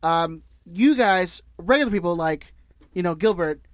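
A high-pitched man co-hosting a podcast, rambling through a sponsor read with loose, self-correcting jokes. The sound is close and dry, with no room around it. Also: expressive, measured pace, no room sound. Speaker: podcast co-host. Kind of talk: casual podcast chat, ad read.

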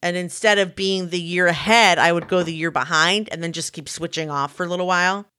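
A woman in her forties talking in an animated, self-deprecating way as a co-host in a casual chat. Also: on the low side, brisk, clear, resonant tone. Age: 40s. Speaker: podcast co-host.

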